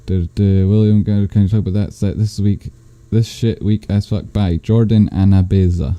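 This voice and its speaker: A man's voice: steady tone, moderate pace, dark tone, no room sound, highs cut off. A man from Scotland hosting a live stream, reading chat comments aloud with dry, teasing, rambling asides. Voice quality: bassy voice. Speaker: stock stream host. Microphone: desk microphone.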